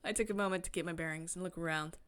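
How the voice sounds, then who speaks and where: A woman game streamer expressively reading a visual novel aloud, breaking into excited, chatty asides. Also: fairly low pitch, racing, a little hiss or hum. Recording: music behind the voice. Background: game music.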